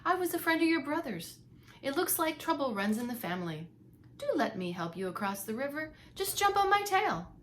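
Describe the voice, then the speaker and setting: A woman's voice: sits high, very animated, brisk, fairly bright tone, a little hiss or hum, voice pushed up. A woman voicing a devious male fox in a children's storybook, coaxing and falsely sweet. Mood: sly coaxing. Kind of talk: children's storybook read-aloud.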